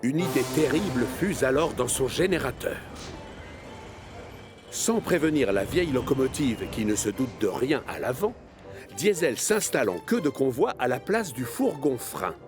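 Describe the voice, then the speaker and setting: A man narrating a children's animated story in an expressive storyteller's manner. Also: medium pitch, moderate pace. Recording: music behind the voice. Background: music.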